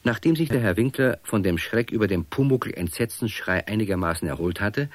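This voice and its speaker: A man's voice: on the low side, animated, fairly quick, no echo. A man as storyteller, reading scripted narration for a children's radio play. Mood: narrating.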